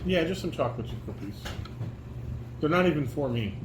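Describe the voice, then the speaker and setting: A man's voice: a little high, animated, fairly quick. A man talking to a child as a father, giving instructions and asking questions in a firm, correcting manner. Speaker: father leading a family table read. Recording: echoey room, background noise.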